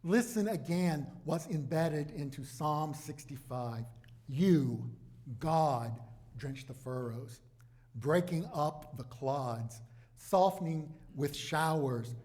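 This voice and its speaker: A man preaching a sermon as a pastor, in deliberate, emphatic phrases broken by dramatic pauses. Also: a little high, a little mellow.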